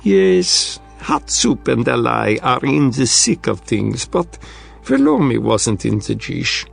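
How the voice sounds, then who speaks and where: A man's voice: medium pitch, very animated, takes it slowly, vocal fry, husky, soft background music, full character voice. A man voicing a sly, blunt Russian strategist with a thick Russian accent and clipped, article-dropping speech. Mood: sardonic. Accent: thick Russian accent, put on.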